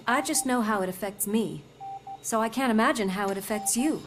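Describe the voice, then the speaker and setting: A woman's voice: on the high side, fairly quick, slight background noise, natural voice. A woman playing a loyal, concerned police partner in a video game, speaking earnestly and confidingly. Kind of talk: video game cutscene acting.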